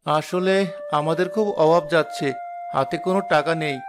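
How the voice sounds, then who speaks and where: A man's voice: a little high, fairly even intonation, moderate pace, close to an everyday voice. A man voicing a humble, poor village father in a cartoon, plaintive and pleading, with music behind. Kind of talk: moral-story cartoon acting.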